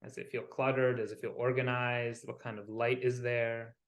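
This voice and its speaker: A man leading a guided visualization as a wellness coach, soothing and unhurried, pausing between short phrases. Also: medium pitch, level tone. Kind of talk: guided meditation. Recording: roomy, echoing sound.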